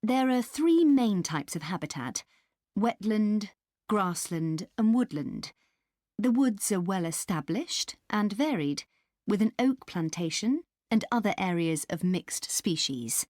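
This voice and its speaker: A woman playing a helpful country park staff member in a scripted dialogue, explaining things deliberately. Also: medium pitch, lively intonation, a little mellow, dry recording, clean studio sound. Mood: helpful, informative. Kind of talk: scripted listening-test dialogue.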